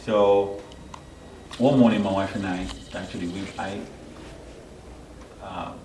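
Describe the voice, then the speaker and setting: A middle-aged man from Haiti giving a personal testimony as an interview guest, halting and searching for his words. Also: deep voice, steady tone, warm tone. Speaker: interview guest, church member. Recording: echoey, distant mic, clear background noise.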